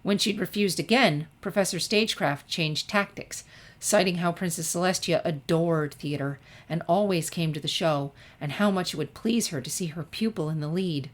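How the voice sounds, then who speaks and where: A woman's voice: on the low side, normal speed. A woman narrator reading a scripted fan-fiction story expressively.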